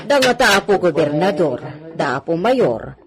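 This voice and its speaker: A woman's voice: fairly low pitch, very animated, normal speed, heavy background noise. A woman with an Ilocano accent playing an evasive, negligent household servant in a radio drama. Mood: brusque.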